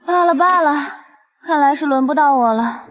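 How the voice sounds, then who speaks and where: Teasing, high-voiced young society woman an actress's role. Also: normal speed, fairly bright tone, no room sound, rough recording. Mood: playful. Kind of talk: dramatized dialogue in an animated web drama.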